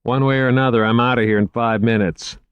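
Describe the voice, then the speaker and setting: A man voicing a gruff, sarcastic male security guard in an audiobook reading. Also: medium pitch, fairly even intonation, quick pace, dry recording, lo-fi sound, pitched above the performer's own voice, lightly acted. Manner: sarcastic, dismissive.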